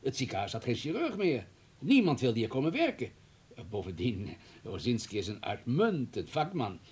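An actor in a radio play playing a fussy, deferential hospital administrator who talks in a wordy, flustered, obliging way. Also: male voice, medium pitch, sing-song, moderate pace, a little mellow, breathy edge. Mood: self-justifying. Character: fussy, deferential male hospital administrator, eager to justify himself.

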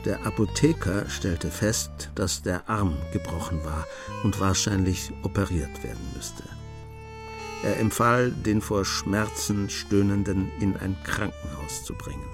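A man's voice: low voice, unhurried, over music. A man narrating a crime comedy radio play as a composed storyteller with dry, understated wit. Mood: composed, wry.

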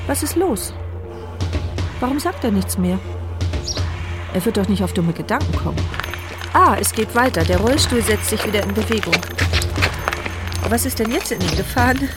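An actress voicing a tense, wry wheelchair user. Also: female voice, mid-range voice, natural voice. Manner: inner-monologue narration, tense and sardonic. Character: paralysed woman in a wheelchair, frightened and wry. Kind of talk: radio drama narration.

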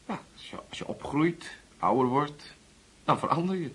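An actor playing a polite, awkward twenty-year-old house guest in a Dutch radio play, hesitant and deferential. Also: male voice, on the high side, expressive, normal speed, fairly bright tone, breathy edge, full character voice. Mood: tentative, friendly.

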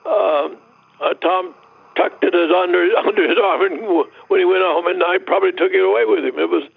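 A man being interviewed, recalling things in a halting, hesitant way with chuckles, on a tinny tape. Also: high-pitched, vocal fry, breathy edge, no echo. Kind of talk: interview recollection.

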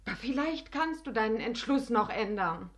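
An actress playing an aging, spurned companion in a German radio play, imploring and weary, with an emotional, halting, often hushed delivery. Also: female voice, a little high, animated. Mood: coaxing.

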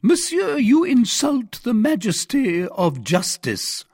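A pompous, easily flustered police commissary from an audiobook. The voice is pitched very high and swings wildly, with a husky edge. He questions imperiously and indignantly, drawing out his words. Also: wildly swooping pitch, takes it slowly, darkish tone, no echo, studio quality, exaggerated voice. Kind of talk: dramatized audiobook reading.

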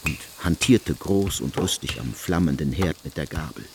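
A man narrating a literary story from a script, in a measured, deliberate storytelling manner. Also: very deep voice, expressive, noisy background.

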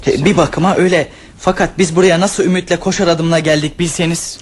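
An actor playing a hesitant teenage son in a radio play, stammering with fillers. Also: male voice, on the high side, fairly even intonation, brisk, slightly rough. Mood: eager.

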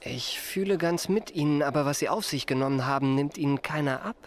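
An actor in a German radio drama playing a polite young confidence man with courteous, measured, carefully phrased speech. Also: fairly high pitch, natural voice. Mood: sympathetic. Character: polite, smooth-talking young confidence man, attentive listener.

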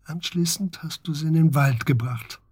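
Husky, earnest investigator. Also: male voice, on the high side, moderate pace, a little mellow, no echo, studio quality. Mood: pressing, worried. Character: grave, pressing male investigator confronting his friend. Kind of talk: German radio drama acting.

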